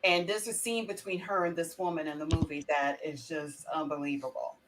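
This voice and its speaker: A mature woman with a slightly low voice talks casually as a panelist. She is emphatic and likes to restate her point for effect. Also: steady tone, fairly quick, background noise. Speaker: panelist on a talk show. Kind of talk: casual chat.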